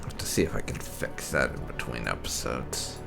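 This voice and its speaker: Male gaming host, low gravelly voice, casual wry playthrough commentary over game music. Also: lively intonation, normal speed.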